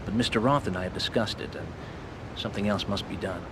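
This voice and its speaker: An actor playing a discreet, insinuating American government operative in a video game cutscene, guarded and official. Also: male voice, on the low side, quick pace, background noise, lightly acted. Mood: conspiratorial.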